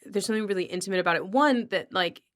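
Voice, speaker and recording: A woman's voice: mid-range voice, rapid-fire. A woman hosting a casual podcast conversation, talking reflectively in long explanations. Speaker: podcast host.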